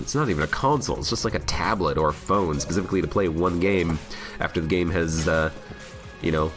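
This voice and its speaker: A man with a cold and a slightly worn voice, rambling cheerfully as he streams himself playing a game. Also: fairly low pitch. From United States. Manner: rambling, chatty, self-mocking humor. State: has a cold, voice a bit worn. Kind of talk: casual live gameplay commentary. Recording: game music loud under voice. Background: game music.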